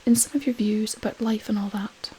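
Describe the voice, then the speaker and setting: Sly, courteous devil minister for a storybook poem, played by a woman. Soft, warm, insinuating whisper, light ambient noise. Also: medium pitch, flat delivery, brisk, natural voice, low-quality recording. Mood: smooth, polite. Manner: polite, pleasant, insinuating. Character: smooth, sly devil posing as gentleman minister. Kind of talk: storybook-style dialect poem reading.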